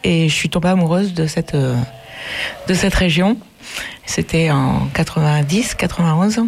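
A woman's voice: sits low, unhurried, creaky, a little mellow, slight background noise. A woman in her fifties from northern France, a sheep farmer interviewed on radio, answering hesitantly with many fillers. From northern France, near Lille.

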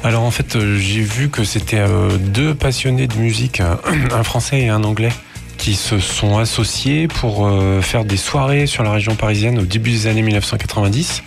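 A man speaks as a guest in a casual radio interview. His voice is fairly low with plenty of fry, and he pauses often as he feels for words. Music plays clearly behind him. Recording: music playing under the voice.

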